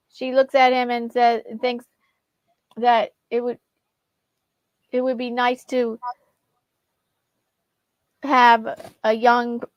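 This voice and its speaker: A woman's voice: on the high side, no room sound. A woman co-storyteller improvising a tale in a casual, hesitant and tentative way. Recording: dull lo-fi, dry sound.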